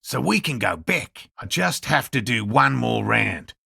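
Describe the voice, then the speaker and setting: A man voicing an earnest, eccentric cryptozoologist in a video game, with a raspy voice and precise, courteous, scholarly phrasing. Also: medium pitch, very animated, a little slow, bright, forceful tone, no echo, studio quality, full character voice. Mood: polite, measured. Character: earnest eccentric male cryptozoologist scientist, obsessive but courteous. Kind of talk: video game dialogue voice lines.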